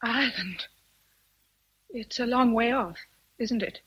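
Breathy, high-voiced British governess. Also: female voice, slow pace, fairly bright tone, heavily acted, rough recording. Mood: guarded, saddened. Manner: earnest, restrained, intense. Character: proud, passionate young governess, plain and independent. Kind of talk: period radio drama acting.

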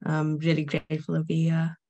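A woman with a low, level voice introduces herself politely and gratefully on a call. Her tone stays even. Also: fairly quick, no room sound, lo-fi sound. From Cape Town, South Africa. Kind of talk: casual self-introduction.

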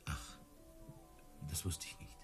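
An actor playing a proud, easily hurt circus tamer in radio play dialogue, earnest and touchy. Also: male voice, takes it slowly, faint music behind, close to an everyday voice. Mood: earnest, persuading.

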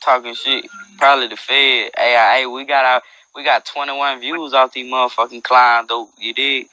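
A man's voice: medium pitch, fairly even intonation, normal speed, pushed and bright, no echo, muffled recording. A young man hosting a phone livestream at night, boasting in loose street slang and freestyling. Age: young adult. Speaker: livestream host. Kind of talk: casual livestream banter and freestyle rap. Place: dim room at night. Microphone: earbud headset mic on a phone.

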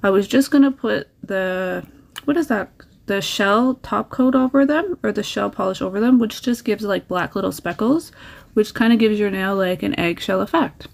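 A woman's voice: medium pitch, normal speed, creaky. A woman talking casually through a nail art tutorial, chatty and encouraging, sharing little tips as she works.

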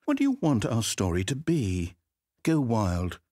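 A man's voice: medium pitch, wildly swooping pitch, measured pace, warm tone. An actor playing a chatty, fussy, self-doubting male narrator who rambles with theatrical flair. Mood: eager, plotting. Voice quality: rambling, theatrical, wildly swinging delivery. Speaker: game narrator. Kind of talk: video game voice acting.

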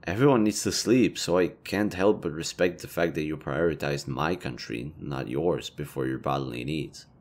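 Man acting as low-voiced, awkwardly flirty elven leader. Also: normal speed. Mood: teasing. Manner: conversational, hesitant, rambling, casually profane. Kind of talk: intimate roleplay audio drama.

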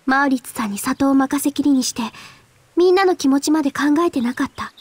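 An actress voicing a gentle, earnest and quietly resolute teenage girl in a Japanese video game, hesitant in manner. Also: high-pitched, brisk, no room sound, close to an everyday voice. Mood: subdued, reflective.

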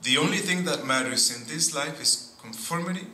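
A middle-aged man, a priest giving a sermon at a pulpit, speaking in slow, deliberate phrases with pauses and frequent asides of "see". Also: a little high, bright, forceful tone. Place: church sanctuary at a pulpit. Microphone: boom mic at pulpit. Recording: echoey church with faint noise.

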